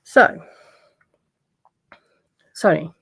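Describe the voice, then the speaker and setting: A woman with a croaky voice giving casual commentary on court filings in a loose, conversational way. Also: extremely slow, fairly bright tone, dry recording, clean studio sound. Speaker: legal commentary vlogger.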